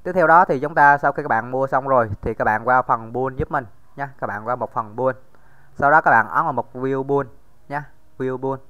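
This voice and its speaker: Smooth-voiced man walking through a screen tutorial. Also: medium pitch, normal speed, pushed and bright. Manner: casual, many fillers, addresses viewers directly. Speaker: crypto tutorial host. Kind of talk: screen-recorded tutorial walkthrough.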